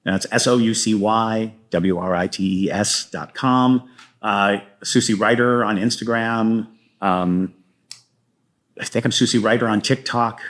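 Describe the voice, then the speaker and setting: A man with a fairly low voice speaks as a guest in a relaxed interview. He tends to think aloud, halting and picking his way through sentences in a conversational style. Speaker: guest playwright and podcaster. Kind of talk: casual interview chat.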